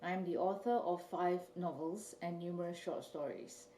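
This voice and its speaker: Woman from Malaysia reading her short story aloud, mellow tone, recorded in a roomy space from a distance. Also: lowish voice, fairly even intonation, normal speed, a little hiss or hum. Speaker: author reading her own fiction. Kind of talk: literary reading.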